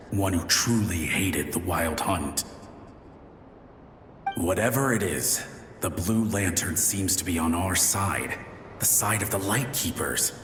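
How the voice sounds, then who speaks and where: An actor playing a teasing, chatty ghost of a lighthouse soldier in a video game, telling tales in a folksy way. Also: male voice, deep voice, background noise. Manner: taunting, folksy storytelling. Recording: long echoing reverb with music behind.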